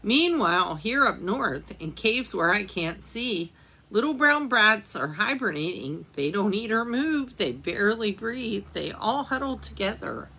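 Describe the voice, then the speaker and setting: A woman librarian reading a picture book aloud at storytime, slowly and gently, pausing to ask the children questions. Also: medium pitch, very animated, breathy edge, low-quality recording.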